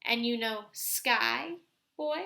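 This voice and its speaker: A woman voicing a patient, wise old grandfather in a storybook, measured and reassuring. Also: fairly high pitch, vocal fry, pushed and bright, exaggerated voice. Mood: patient, teaching. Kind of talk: storybook read aloud.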